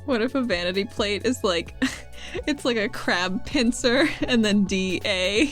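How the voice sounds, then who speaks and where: A woman's voice: mid-range voice, a little slow, soft background music. A woman chatting and joking casually as a player in a tabletop game.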